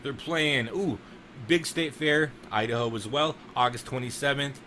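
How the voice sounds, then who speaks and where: A man's voice: medium pitch, moderate pace, sharp tone, slight background noise. A man hosting a podcast in a casual, enthusiastic chat, swearing now and then. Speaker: podcast host.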